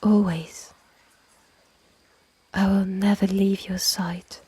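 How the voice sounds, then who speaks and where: A woman with a French accent playing a calm, protective immortal healer in an ASMR roleplay, soothing and often whispering. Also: mid-range voice, slow pace, husky, no echo. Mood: tender, hypnotic.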